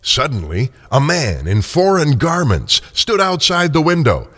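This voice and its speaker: An older man narrating a scripted story dramatically, with expressive, deliberate phrasing. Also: a little high.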